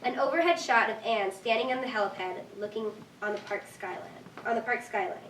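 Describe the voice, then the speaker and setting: A woman's voice: on the high side, brisk, fairly bright tone. A woman reading stage directions as scripted narration at a live staged reading. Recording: echoey room, distant mic, faint noise.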